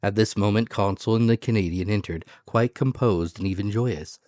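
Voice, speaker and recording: A man's voice: lowish voice, moderate pace, a little mellow, studio quality. A man giving a dramatic scripted audiobook narration in the first person. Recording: echoey room, music behind. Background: music.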